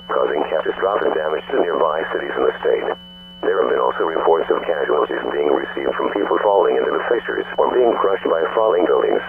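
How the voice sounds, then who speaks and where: A man's synthetic-sounding, gravelly, husky voice reading a formal, impersonal scripted emergency broadcast announcement. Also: extremely low pitch, dry recording. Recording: muffled lo-fi radio-like phone-grade audio.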